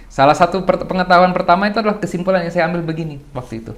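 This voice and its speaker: A young adult man, a podcast guest, talks through ideas in a loose, reflective way, asking himself rhetorical questions and often clearing his throat. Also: sits high, very fast. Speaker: guest speaker. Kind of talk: casual podcast discussion.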